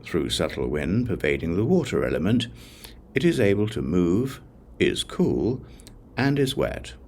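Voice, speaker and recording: Male narrator for sacred-text audiobook: low, gravelly, measured reading with varied intonation, faint background noise. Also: very animated, darkish tone.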